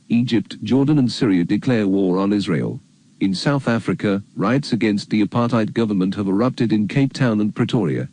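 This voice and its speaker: A man reading scripted narration for an educational documentary filmstrip in a grave, measured, matter-of-fact manner. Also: lowish voice, warm tone, rough recording.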